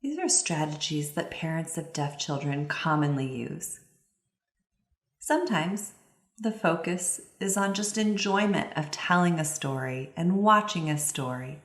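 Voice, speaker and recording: A woman giving instructional advice to parents in an expressive, emphatic way, with examples of reading aloud. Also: low-pitched, measured pace, reverberant.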